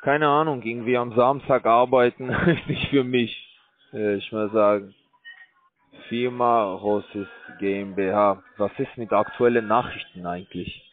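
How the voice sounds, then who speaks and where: A man calling from a call center, rambling in a bored, crude, halting way. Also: medium pitch, fairly even intonation. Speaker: call center scam caller. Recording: dry tinny phone line.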